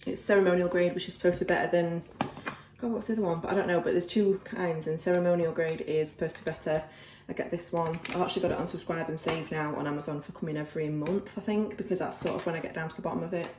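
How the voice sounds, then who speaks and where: Yorkshire girl chatting to her phone at home, lots of ums, laughs at herself, lowish voice. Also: steady tone, fast talker, noisy background. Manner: rambling, filler-heavy, self-deprecating. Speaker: vlogger. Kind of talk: casual chatty vlog. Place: home, kitchen then bedroom. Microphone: phone camera.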